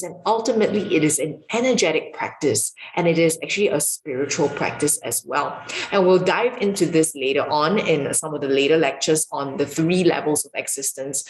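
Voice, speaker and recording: Low-voiced woman teaching in an echoey room. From Singapore. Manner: calm, explanatory, with filler ums. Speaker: qigong and yoga teacher. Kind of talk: online teaching lecture. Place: home room with marble floor. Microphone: camera mic, video recording.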